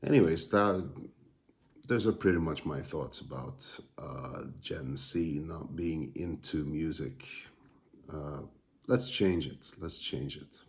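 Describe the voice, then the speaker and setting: Slow, low-voiced Norwegian man musing. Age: adult father. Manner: thoughtful, hesitant, many pauses and fillers. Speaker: musician and music teacher. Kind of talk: casual reflective monologue.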